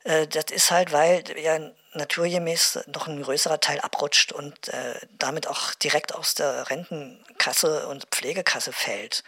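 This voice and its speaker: An actor playing a self-satisfied male official in a radio drama, rambling haltingly with callous good cheer and constant tag questions. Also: fairly high pitch, fairly even intonation, bright, forceful tone, husky, heavily acted.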